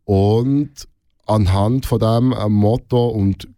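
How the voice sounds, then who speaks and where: Male radio presenter as interview guest, Swiss German accent, soft dark tone, dry sound, casual and searching delivery. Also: fairly low pitch, fairly even intonation, slow, dry recording. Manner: hesitant, rephrasing often, repeating words mid-sentence. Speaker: radio host being interviewed.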